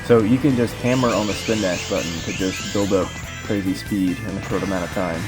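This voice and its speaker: Low male voice, casual gameplay commentator, informal rambling explanations, game music behind. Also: fairly even intonation, a little fast. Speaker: speedrunner commentator. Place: voice-over recorded over game footage.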